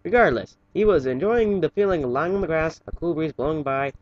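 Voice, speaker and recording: A man's voice: on the high side, brisk, dry recording. A man reading a story aloud as an amateur, informally, sometimes stumbling over words. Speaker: fan fiction reader for an online channel. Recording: dull, lo-fi home recording.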